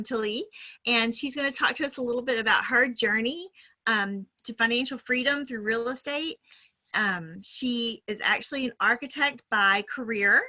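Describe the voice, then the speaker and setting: Bright enthusiastic woman podcast host. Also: a little high. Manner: enthusiastic, chatty with fillers. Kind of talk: upbeat podcast intro.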